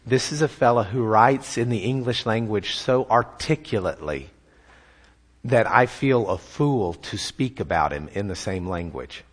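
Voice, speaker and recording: A man's voice: lowish voice, lively intonation, a little slow, breathy edge. A man with a Texan accent giving a folksy, self-deprecating church talk about a writer's conversion, often repeating words as he builds a point. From Texas. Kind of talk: sermon-style talk with slides.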